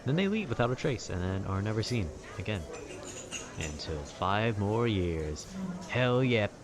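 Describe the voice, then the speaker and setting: Very deep-voiced young man rambling calmly. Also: a little slow, a crowd in the background. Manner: calm, informal, wry. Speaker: video creator. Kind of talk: casual rambling monologue about video games.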